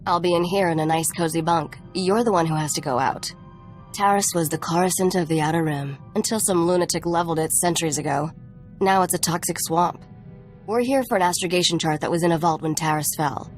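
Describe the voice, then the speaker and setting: Actress as a low-voiced sardonic smuggler woman. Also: fairly quick, soft background music, natural voice. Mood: dry, haughty. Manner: dry, sarcastic, self-assured. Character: tough, sardonic woman smuggler partner, cool and haughty. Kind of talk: video game voice acting.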